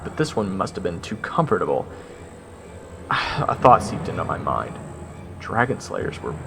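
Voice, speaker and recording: A man narrating a first-person fantasy story in a theatrical way, with dramatic pauses. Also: lowish voice, a little hiss or hum. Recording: loud music under the narration.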